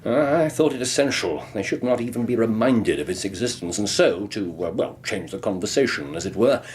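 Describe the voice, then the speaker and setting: A man acting a brilliant English detective in a radio play. His low voice swings expressively as he explains with precise, wry self-satisfaction, over faint background noise. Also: expressive, normal speed, a little mellow, lightly acted. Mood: amused, self-satisfied.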